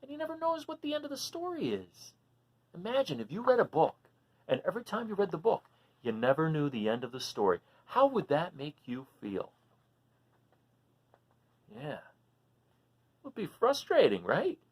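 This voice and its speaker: A woman reading a picture book aloud for children, animated and often stopping to ask the listener questions. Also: lowish voice, normal speed, pauses often. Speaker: storybook reader for children.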